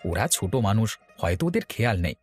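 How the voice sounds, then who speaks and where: Man playing a quick-talking considerate young husband. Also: fairly low pitch, sing-song. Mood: reassuring. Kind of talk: cartoon dialogue. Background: background music.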